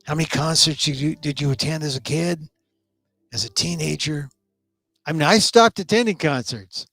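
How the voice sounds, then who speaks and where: Middle-aged male talk-show host, hoarse and scratchy, slightly higher voice, rambling opinion piece, soft music under him. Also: normal speed, no room sound. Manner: opinionated, loose rambling commentary with restarts. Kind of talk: live online talk show commentary.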